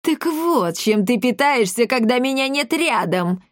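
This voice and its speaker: A woman's voice: mid-range voice, expressive, normal speed, no echo, clean studio sound, natural voice. A woman voicing a tiny old mother in a storybook, domineering, scolding and theatrically outraged.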